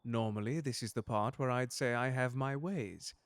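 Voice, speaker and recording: A man acts out a gentleman butler for an audio drama. He is formal and precise in his wording, unflappable and courteous, with menace sitting quietly underneath. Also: medium pitch, moderate pace, no echo, close to an everyday voice. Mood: smooth menace. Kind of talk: character audio roleplay drama.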